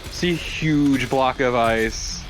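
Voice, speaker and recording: A man's voice: mid-range voice, drawn-out words, soft background music, rough recording. A man co-commentating on a video game in a casual, joking style. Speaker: let's play co-commentator.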